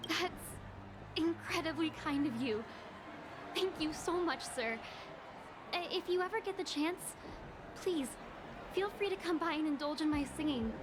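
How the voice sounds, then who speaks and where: An actress playing a sweet, earnest young street singer in a video game, with a polite, bubbly manner. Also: female voice, high-pitched, measured pace, pauses often, noisy background. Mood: delighted, grateful. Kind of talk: video game character acting.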